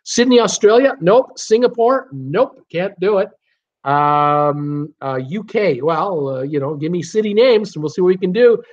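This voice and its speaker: A man's voice: sits high, lively intonation, measured pace. A man in his sixties hosting a casual livestream quiz from a home office, reading out guesses and answering himself in short, clipped phrases. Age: 60s. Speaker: livestream host. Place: small home office, indoors. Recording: slightly dull webcam audio.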